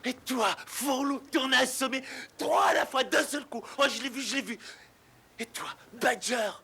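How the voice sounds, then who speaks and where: A man voicing a vain, boastful, excitable toad gentleman in a cartoon dub, theatrical and exclamatory. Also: very high voice, normal speed, vocal fry, breathy edge, exaggerated voice. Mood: gushing, excited. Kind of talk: French cartoon dub acting.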